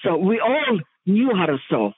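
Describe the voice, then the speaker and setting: A woman in her nineties, born in France and speaking, her Armenian, reminiscing haltingly and often searching for words. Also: medium pitch, big pitch swings. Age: 90s. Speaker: interviewee. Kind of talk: reminiscing in casual conversation. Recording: tinny phone-like line, dry.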